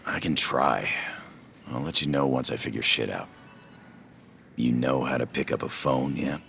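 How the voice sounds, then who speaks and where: An actor playing a gruff, surly gangster in a video game, gravelly and curt. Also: male voice, rumbling low, normal speed, a bit bright, a little hiss or hum, exaggerated voice. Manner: curt, grudging. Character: gruff, surly male yakuza underling. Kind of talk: video game cutscene acting.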